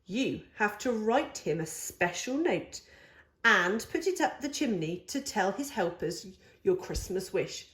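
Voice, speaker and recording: A woman's voice: mid-range voice, sing-song, measured pace, a bit bright, reverberant. A woman voicing a patient, persuasive young boy, the older brother in a storybook, coaxing and reasoning. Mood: patient, reassuring.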